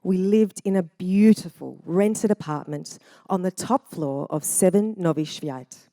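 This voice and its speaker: A woman narrating a family memoir in a measured, reflective first-person reading. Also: on the low side, warm tone, no room sound.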